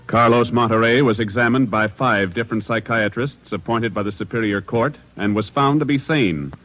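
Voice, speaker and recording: A man's voice: mid-range voice, moderate pace, a bit bright. A man announcing in formal, scripted 1940s radio style. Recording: dull 1940s radio recording with music.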